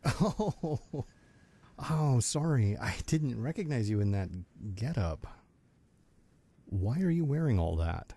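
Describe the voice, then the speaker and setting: A man's voice: mid-range voice, big pitch swings, slow, husky, full character voice. A man playing a kindly, flustered middle-aged unicorn stallion father in an amateur audio drama. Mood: confused, apologetic. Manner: hesitant, stammering, then loudly enunciating.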